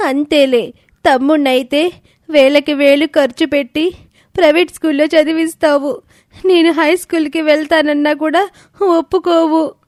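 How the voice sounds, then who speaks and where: A high, tearful young daughter played by a woman in an audio storybook drama. She pleads and complains with a wounded, aggrieved edge, recorded close and dry. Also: steady tone, normal speed, darkish tone, no echo, close to an everyday voice. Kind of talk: dramatised moral story acting.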